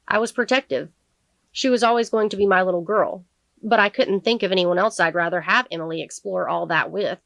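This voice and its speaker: A woman reading the part of a grieving, protective middle-aged father who testifies in court in a measured, sincere audiobook manner. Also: medium pitch, gravelly, a bit bright, dry recording. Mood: reflective, protective.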